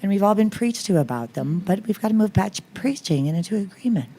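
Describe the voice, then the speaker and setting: A woman's voice: mid-range voice, lively intonation, a little fast, dark tone, slightly rough. A woman speaking frankly and emphatically on a discussion panel, driving points home with rhetorical questions. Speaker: panelist, actor. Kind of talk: roundtable discussion. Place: film festival roundtable, live event.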